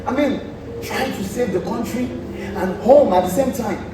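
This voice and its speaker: A man's voice: extremely high pitch, normal speed. A Nigerian man acting a boastful, teasing lord in a stage play, with exclamatory delivery. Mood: flattering, condescending. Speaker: student stage actor. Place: large indoor theatre stage. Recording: very echoey theatre, distant, clear noise.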